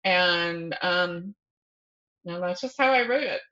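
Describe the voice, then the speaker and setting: A woman's voice: on the low side, slow pace, bright, forceful tone, dull audio. A woman speaking as a guest novelist in a casual, informal interview, often breaking off and rephrasing as she thinks aloud.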